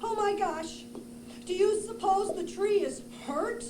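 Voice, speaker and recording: A woman voicing a grumpy, bossy male raccoon puppet in a children's show, grumbling with drawn-out emphasis. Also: very high-pitched. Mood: alarmed. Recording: roomy, echoing, noisy live puppet show.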